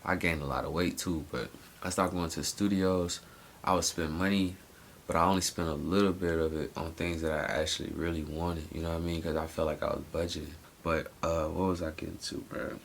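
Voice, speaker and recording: A man's voice: deep voice, steady tone, fairly quick, creaky, slight background noise. A man in his late twenties talking through his day in a loose, rambling vlog monologue, full of slang and restarts. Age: 20s. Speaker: vlogger. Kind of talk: casual personal monologue.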